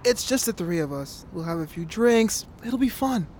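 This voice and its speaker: A man plays an easygoing young soccer fan with a very high voice for a man. He speaks casually and naturally, like a boyfriend or buddy in an audio drama. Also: expressive, moderate pace, darkish tone, slight background noise. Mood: apologetic, coaxing. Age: young adult.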